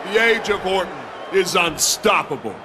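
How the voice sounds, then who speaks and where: A man playing an arrogant, menacing wrestling champion in a video game, cocky, boastful and deliberate. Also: sits high, expressive, crowd noise, noisy background.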